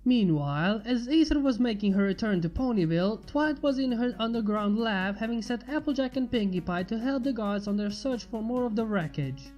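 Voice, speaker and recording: A woman's voice: a little high, lively intonation, brisk, few pauses, mellow, highs cut off. A woman reading a scripted story aloud as its narrator. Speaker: fan fiction reader. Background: music.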